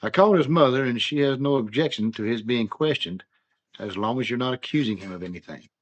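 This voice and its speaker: A polite, accommodating school official, played by an actor in a radio drama. His voice is husky and mid-pitched. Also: fairly quick, lo-fi sound, close to an everyday voice.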